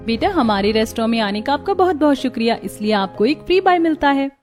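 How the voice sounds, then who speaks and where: A woman voicing an earnest, warm young daughter-in-law in a cartoon family drama. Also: sits high, expressive, quick pace, faint music behind. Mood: kind, planning. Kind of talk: Hindi cartoon dubbing.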